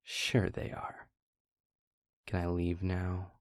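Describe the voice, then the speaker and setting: A man playing an evasive, resentful vampire with a husky, creaky voice, sullen and sarcastic, in a roleplay audio drama. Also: low-pitched, monotone, slow pace, no room sound, clean studio sound. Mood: sarcastic, placating.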